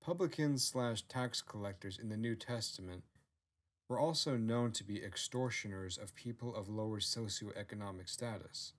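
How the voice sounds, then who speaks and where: A man's voice: lowish voice, moderate pace. A man reads scripted narration as the author of the written work. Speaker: author narrating own novel.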